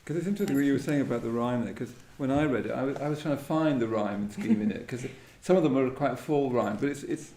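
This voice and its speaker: A man interviewing a poet, musing appreciatively about poetry in a halting, reflective way. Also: medium pitch, animated, dark tone. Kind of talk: reflective literary conversation. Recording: roomy live event sound.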